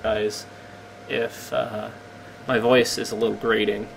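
A man with a slightly croaky voice casually commenting on his gameplay, rambling and poking fun at himself as he explains. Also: on the low side, little pitch movement, slow, slight background noise, low-quality recording. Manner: rambling, self-deprecating, explains as he plays.

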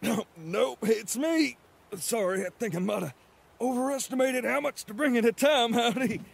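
A man's voice: very high voice, normal speed, creaky, husky, exaggerated voice. An actor playing a clumsy, cheerful adult mailman who talks in a folksy drawl and stammers when he gets flustered. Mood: apologetic. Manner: flustered, stammers under pressure. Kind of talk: cartoon voice acting.

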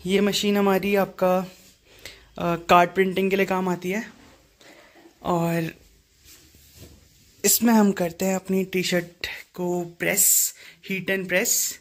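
Even-toned young man vlogging. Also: high voice. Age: 20s. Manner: informal, conversational, addressing viewers. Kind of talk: casual vlog tour narration. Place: home rooms, courtyard and small shop. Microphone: phone at arm's length. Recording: handheld vlog with clear background noise.

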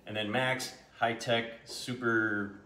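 A man's voice: little pitch movement, slow pace, pushed and bright. Jokey man with a slightly scratchy voice. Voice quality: a little deep and scratchy today. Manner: jokey, self-mocking, chuckles often. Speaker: co-host of a live shopping show. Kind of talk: casual live-stream banter. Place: large home kitchen, indoors. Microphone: camera mic at a distance. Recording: echoey kitchen, faint background noise.

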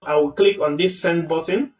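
Man explaining software step by step. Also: fairly high pitch, steady tone, normal speed, recorded from a distance. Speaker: tutorial host. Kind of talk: screen-recorded software tutorial.

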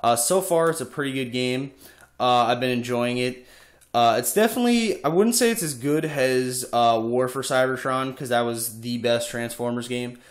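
A man's voice: medium pitch, normal speed. A young man with a cold and a stuffy nose, chatting casually with lots of "uh" fillers while showing off his game collection in an echoey room at home. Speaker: video game collector vlogger. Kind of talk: casual show-and-tell.

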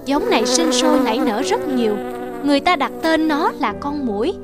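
Lady narrator reading a fairy tale, high voice, music under it. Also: animated, a little fast.

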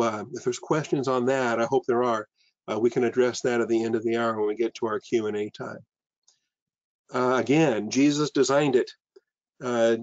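A man's voice: medium pitch, no echo. An older man, a pastor, teaching a sermon over a video call from a room with bookshelves, earnest and full of fillers and restarts. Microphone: webcam on a video call. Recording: dull lo-fi video call audio.

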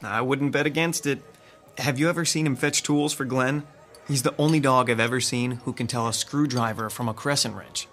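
A man's voice: mid-range voice, steady tone, normal speed, a bit bright, faint music behind, lightly acted. Wry, skeptical theatre techie played by a man. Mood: wry teasing. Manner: dry, teasing. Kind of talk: audio drama acting, conversational.

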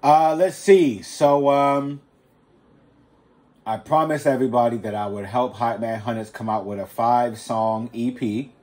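A man's voice: mid-range voice, measured pace, a little mellow. A man, a rapper, chatting casually on a podcast in an informal, slangy, anecdotal way. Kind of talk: casual chat.

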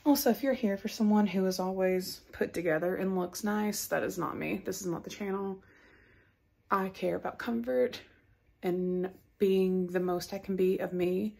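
A young adult woman chatting casually to her phone camera in a home kitchen, sounding tired, unhurried and rambling. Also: medium pitch, creaky, darkish tone. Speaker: vlogger. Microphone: phone front camera at arm's length.

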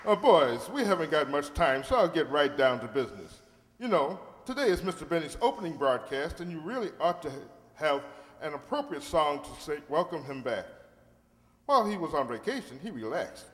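A man's voice: on the high side, very animated, moderate pace, a bit bright. A man playing a jovial, gushing radio announcer in an old-time comedy, talking heartily. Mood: hearty, gushing. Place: stage of a large hall. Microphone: stand microphone. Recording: echoey hall stage performance.

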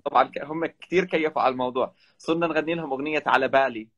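Fast-talking man guest. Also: mid-range voice, a bit bright, lo-fi sound. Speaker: travel vlogger guest. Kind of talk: casual live chat. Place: indoor room. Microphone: video call, webcam.

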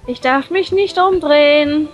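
A woman's voice: sits high, unhurried, muffled recording. A woman voicing a shy, flustered schoolgirl heroine with a crush in a visual novel, stammering and hesitant. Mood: giddy, anxious. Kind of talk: visual novel dub reading with inner monologue. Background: music.

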